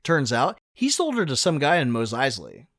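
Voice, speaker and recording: A man's voice: medium pitch, huge pitch swings, normal speed, fairly bright tone, dry recording, studio quality. A man narrating a film recap casually, with a wry, sarcastic, joking delivery.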